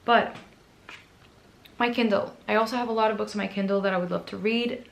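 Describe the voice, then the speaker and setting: Hoarse, gravelly-voiced woman book vlogger. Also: medium pitch, fairly even intonation, fairly quick, roomy sound. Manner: chatty, self-deprecating. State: hoarse, raspy voice from screaming. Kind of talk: casual book chat to camera.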